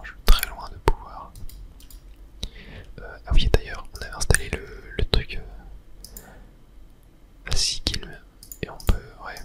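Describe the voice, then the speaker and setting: A person whispering casual, hesitant ASMR commentary while playing a video game, in a soft unvoiced whisper. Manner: casual, hesitant thinking aloud. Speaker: video game streamer narrating own play.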